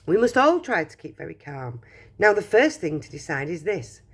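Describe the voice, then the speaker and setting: A woman reading a children's storybook aloud, voicing a calm, caring mother who speaks gently. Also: lowish voice, normal speed, husky. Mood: soothing.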